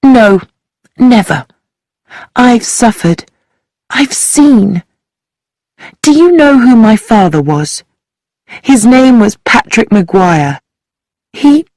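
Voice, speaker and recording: A woman voicing a bitter, defiant young woman in a storybook, pitching up for her scornful, passionate outbursts. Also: a little mellow, no echo.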